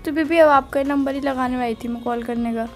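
Young female, Indian accent, highish pitch, casual couple's phone chat, teasing and defensive, faint music. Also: steady tone, fairly quick. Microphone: phone call.

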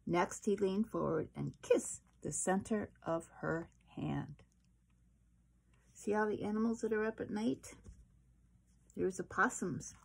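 A woman's voice: mid-range voice, slow pace, gravelly, long pauses, a little hiss or hum. A middle-aged woman reading a picture book aloud to children in a small room. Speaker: storybook reader. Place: small wood-panelled room indoors.

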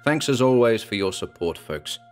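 A man's voice: medium pitch, animated, moderate pace, soft background music. A man reading a scripted introduction as host of a horror audio drama channel.

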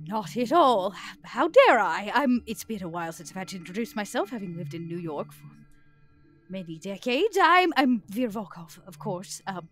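A woman's voice: mid-range voice, very animated, moderate pace, soft background music. A woman playing a tabletop game, chatting and role-playing in a playful, breezy way. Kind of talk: casual in-game chat and role-play.

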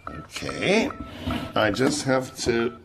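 Cocky, sardonic captain, swooping delivery. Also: male voice, mid-range voice, big pitch swings, takes it slowly, gravelly, music in the background, heavily acted. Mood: taunting, controlled. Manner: taunting, sardonic. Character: cocky, sardonic middle-aged male captain, defiant under threat. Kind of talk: radio drama acting.

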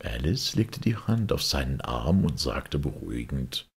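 Deep, gravelly, husky male storyteller. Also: big pitch swings, measured pace. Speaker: audiobook reader. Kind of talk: expressive storybook narration.

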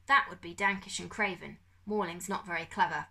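A woman reading an audiobook, voicing a young guide who whispers her explanations in a hushed, matter-of-fact way. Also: fairly low pitch, brisk, creaky. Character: quiet, knowing young girl guide, whispering. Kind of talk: audiobook character voice.

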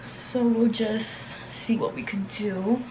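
A woman's voice: fairly high pitch, fairly even intonation, slow pace, mellow, slight background noise, low-quality recording. A young woman chatting casually and slangily as a vlogger, rambling along with lots of filler words. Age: young adult, around twenties. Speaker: beauty and lifestyle vlogger. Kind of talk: casual chatty get-ready-with-me vlog. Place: bedroom at home, indoors.

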